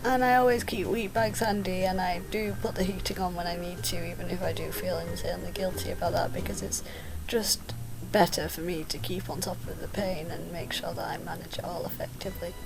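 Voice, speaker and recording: Creaky young woman with a sore throat. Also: on the low side, steady tone, brisk, crowd noise, rough recording. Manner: chatty, rambling, informal. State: sore throat, coming down with a cold. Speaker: vlogger. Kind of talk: casual chat to camera.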